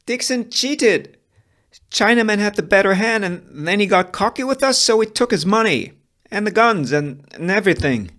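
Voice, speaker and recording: Shifty frontier accuser played by a man, voice pushed high, whining and pleading, for a western. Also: lively intonation, normal speed, fairly bright tone. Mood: desperate confession. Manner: agitated, pleading. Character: whiny, shifty male Wild West accuser.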